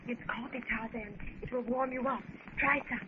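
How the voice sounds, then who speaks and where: An actress playing a gentle, coaxing young lady in a 1930s radio adventure serial. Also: on the high side, steady tone, normal speed, narrow, tinny audio, lightly acted. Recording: thin, very noisy 1930s radio recording.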